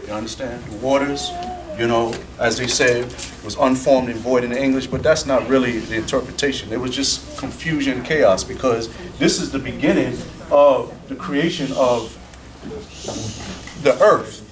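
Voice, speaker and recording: A man's voice: mid-range voice, normal speed, crowd noise. A man explaining the meaning of a scripture verse to a congregation in a plain, repetitive way. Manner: plainspoken, repeats "basically". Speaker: congregation teacher. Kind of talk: explaining scripture in a group discussion. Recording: distant mic, background noise.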